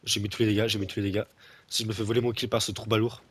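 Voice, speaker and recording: A man's voice: on the low side, little pitch movement, rapid-fire, slightly rough. Stuffy-nosed young man rambling over gameplay. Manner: rambling, many fillers and restarts, teasing. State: stuffy nose from a cold. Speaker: gaming video creator. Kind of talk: casual gameplay chat with a friend.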